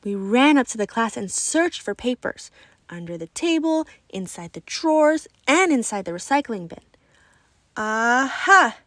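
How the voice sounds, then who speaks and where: Woman doing a kids read aloud, highish voice, expressive, super dry close recording. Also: moderate pace, no room sound. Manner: animated, acts out children's dialogue. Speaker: children's book reader.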